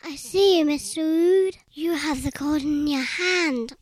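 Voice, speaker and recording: A woman puts on an eager young fellow from a children's storybook, a perky museum guide. The voice is extremely high and deliberately paced, recorded dry and close. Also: no room sound. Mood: accusing.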